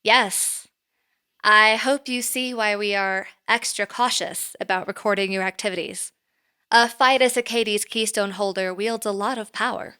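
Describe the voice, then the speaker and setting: A woman's voice: medium pitch, fairly even intonation, measured pace, pushed and bright, smooth. A woman playing a poised female boss in an audio drama, speaking formally and precisely as she earnestly interviews an applicant. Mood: businesslike. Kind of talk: audio drama acting, conversational.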